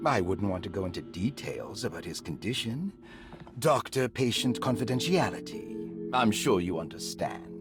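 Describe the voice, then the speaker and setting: An actor playing a suave, evasive doctor in a video game, speaking with measured, condescending politeness. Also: male voice, fairly low pitch, expressive, over music, close to an everyday voice. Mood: evasive, courteous. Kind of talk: video game cutscene acting.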